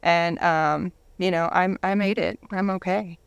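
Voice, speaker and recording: A woman's voice: fairly low pitch, steady tone, unhurried, gravelly, heavy background noise. A woman in her thirties telling her personal story candidly as a guest in a casual interview. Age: 30s.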